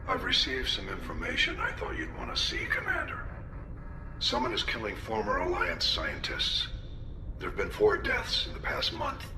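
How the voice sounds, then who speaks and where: A man voicing a grave fleet admiral in a video game, with formal, measured military delivery. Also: extremely high pitch, huge pitch swings, reverberant, noisy background, full character voice. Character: grave older male fleet admiral giving a briefing.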